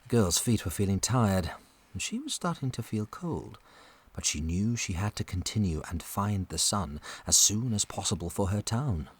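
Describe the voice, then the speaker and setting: A man narrating a scripted children's story as an expressive storyteller. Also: fairly low pitch, normal speed, husky.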